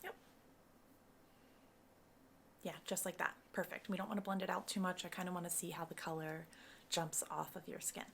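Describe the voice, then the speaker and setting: A soft-spoken woman doing an ASMR cosmetics consultation roleplay, breathy and often slipping into a whisper, explaining each step in a casual, reassuring way. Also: on the low side, steady tone, moderate pace, long pauses, a little hiss or hum.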